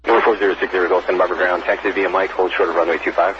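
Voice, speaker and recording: A deep-voiced man works as a ground controller, rattling off clipped instructions at a fast pace. He comes over a radio channel with plain static. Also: little pitch movement. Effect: aviation radio. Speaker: ground air traffic controller. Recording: muffled aviation radio, clear static.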